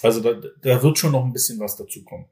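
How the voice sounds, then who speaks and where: A man's voice: medium pitch, quick pace, warm tone. A man from Bavaria, a football team's general manager, talking casually in a podcast and rambling with many fillers and restarts.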